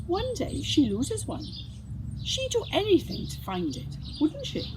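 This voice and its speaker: High, very animated woman reading to children. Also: measured pace, noisy background. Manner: animated, with rhetorical questions to the listener. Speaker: storyteller reading a children's Bible story. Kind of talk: expressive scripted story reading for young children. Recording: music behind the reading. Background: music.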